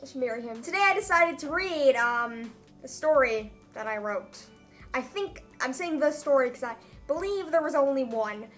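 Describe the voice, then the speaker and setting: A woman's voice: high-pitched, animated, moderate pace, a bit bright, faint music behind. A young woman chatting casually in a comedic vlog, with wry, self-mocking asides. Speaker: vlogger. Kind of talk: casual comedic vlog chat, reading own old writing.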